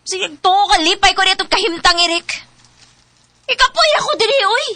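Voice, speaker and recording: Actress playing restless young girlfriend, very high-pitched, piercing tone, whiny, emotional radio drama style. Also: animated, moderate pace, pushed and bright, no room sound, full character voice. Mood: fed up. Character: discontented, restless young live-in girlfriend who drinks. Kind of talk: radio drama acting in Cebuano.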